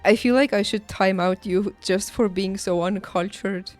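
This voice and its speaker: A woman's voice: medium pitch, unhurried, faint music behind. A woman livestreaming a game, chatting casually with her viewers in a playful, teasing way. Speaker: video game streamer.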